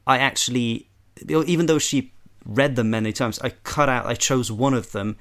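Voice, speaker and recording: A man with an average-pitched voice chats casually as a producer and dialogue editor. His manner is appreciative and explanatory, like relaxed talk among colleagues. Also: normal speed. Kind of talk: behind-the-scenes casual chat.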